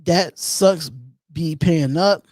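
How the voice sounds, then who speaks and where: Relaxed, chatty man in his thirties. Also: on the high side, very slow, dry recording, clean studio sound. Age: 30s. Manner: relaxed and chatty, with many ums and repeated phrases. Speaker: host of a live call-in show. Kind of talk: casual live-stream chat reading viewer comments.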